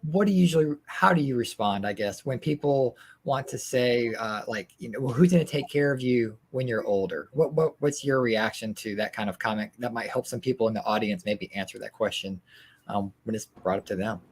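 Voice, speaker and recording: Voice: medium pitch, fairly quick, breathy edge. A co-moderator posing questions to panelists in a friendly way over a video call.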